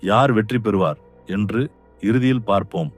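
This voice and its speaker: A greedy cartoon king, played by a man, rumbles his demands in a low, heavy voice. He talks down to everyone with stern, boastful confidence, sure that no one dares to challenge him. Faint music plays under his lines. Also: moderate pace, natural voice. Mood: stern, commanding. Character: greedy scheming adult king, proud. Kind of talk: cartoon voice acting.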